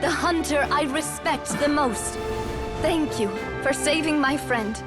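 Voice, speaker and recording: A maiden invoking a hero in a game cutscene, high and slow under swelling loud music. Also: female voice. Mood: reverent pleading. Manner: earnest, solemn. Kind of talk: video game voice acting.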